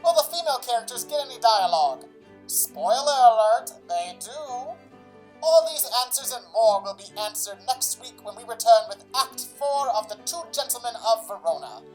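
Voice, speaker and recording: A woman's voice: fairly high pitch, sing-song, moderate pace, faint music behind, rough recording. A woman narrating and announcing a radio drama in a scripted, playful old-time serial style.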